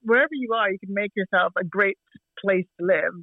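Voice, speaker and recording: A woman's voice: mid-range voice, a little fast, no room sound. Canadian woman in her forties, chatty, laughs a lot, dry tinny phone-line sound. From Canada, Toronto. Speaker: guest. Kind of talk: casual chat over a call.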